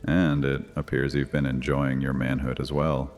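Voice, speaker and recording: Male vampire high priest, extremely low voice in a long reverberant hall, taunting and theatrical, for dark fantasy audio drama. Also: little pitch movement, moderate pace, soft background music. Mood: mocking amusement.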